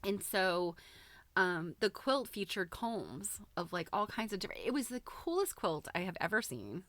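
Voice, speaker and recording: A woman host chatting casually on a livestream in an informal, halting way, thinking aloud as she goes. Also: mid-range voice, sing-song, fairly bright tone, no room sound. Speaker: host of a live quilting book show. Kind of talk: casual livestream chat, commenting while browsing a book.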